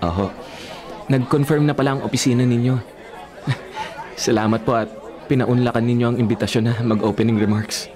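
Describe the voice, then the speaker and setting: A man's voice: mid-range voice, fairly even intonation, a little fast, pauses often, crowd noise, natural voice. A man in a radio drama playing an earnest, respectful young vice mayor in love, polite and hesitant in speech.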